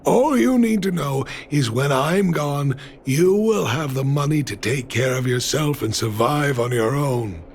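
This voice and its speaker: A man's voice: mid-range voice, gravelly, a little hiss or hum. An actor voicing a world-weary middle-aged miner and father in a video game, resigned and sighing. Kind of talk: dramatic video game dialogue.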